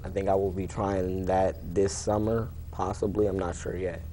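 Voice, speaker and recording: Low-voiced young American man, laconic. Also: flat delivery, normal speed, mellow, a little hiss or hum. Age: late teens. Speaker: interview guest, high school wrestler. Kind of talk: casual interview answers.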